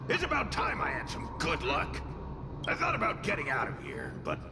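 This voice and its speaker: A man voicing a brash, hot-tempered spirit guardian in a video game, gravelly and theatrical, blustering with sarcasm and gruff affection. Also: fairly high pitch, wildly swooping pitch, normal speed, noisy background. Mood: sardonic, gruff. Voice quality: gravelly, raspy. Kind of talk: video game cutscene acting. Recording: loud music under the voice. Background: loud background music.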